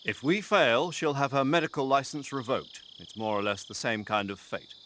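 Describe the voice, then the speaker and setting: A chatty military commander. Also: male voice, mid-range voice, a bit bright, slight background noise. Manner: talkative, earnest, briefing tone. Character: brisk male military commander on radio. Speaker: mission commander on radio. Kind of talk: video game cutscene acting.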